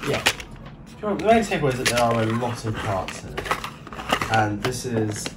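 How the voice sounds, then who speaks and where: A man's voice: mid-range voice, very animated, moderate pace, very noisy. A man giving a casual, unscripted show-and-tell as a vlog host, thinking aloud in an informal way. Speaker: YouTube host showing a hobby build. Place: indoor room beside the build. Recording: echoey room, distant mic, music behind.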